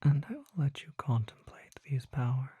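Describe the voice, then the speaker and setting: A performer voicing a mysterious, gentle and solemn vampire apothecary in a breathy, hushed whisper, with formal, deliberate phrasing for an ASMR roleplay. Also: medium pitch, sing-song, dry recording, lo-fi sound.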